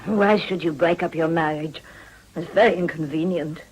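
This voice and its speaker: An actress playing a worldly, coolly pragmatic woman, deliberate and sophisticated in manner. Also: sits low, darkish tone, breathy edge, rough recording. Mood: cool pragmatism. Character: worldly, pragmatic French-speaking mistress, coolly discreet woman.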